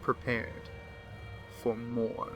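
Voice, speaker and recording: A man voicing a pompous, unhinged occult diarist who scorns humanity, in theatrical, deliberate horror narration that lingers on words. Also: mid-range voice, monotone, over music. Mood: ominous, warning. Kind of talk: dramatic horror story narration.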